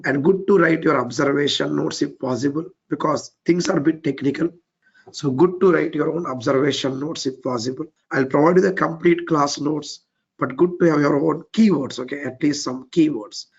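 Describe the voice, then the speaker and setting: A man with an Indian English accent teaching a live technical class. His voice is weak and low, and he keeps repeating his cues to move on step by step. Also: moderate pace, vocal fry, husky, dull audio. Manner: repetitive, keeps saying "let's get started, step by step". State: voice a bit weak and low from overuse. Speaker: trainer.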